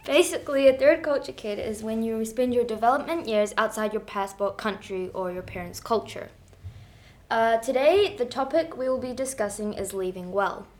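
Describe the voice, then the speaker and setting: A woman's voice: fairly high pitch, moderate pace, darkish tone. A teenage girl hosting a school podcast, upbeat and reading prepared questions. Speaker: student podcast host. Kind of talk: scripted hosting.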